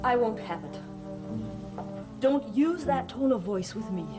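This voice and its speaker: An actress playing an imperious mother of a grown son in a gothic soap opera, measured and haughty. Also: a little high, animated. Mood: stern. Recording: old soap soundtrack with music underneath.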